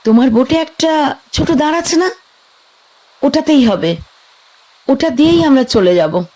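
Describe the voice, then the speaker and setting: A young woman in a horror radio play, frightened and insistent, her voice high and pressing, played by an actress. She sounds urgent and on edge throughout. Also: animated, a little slow, warm tone, rough recording. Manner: high, urgent and tense delivery. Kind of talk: audio drama acting.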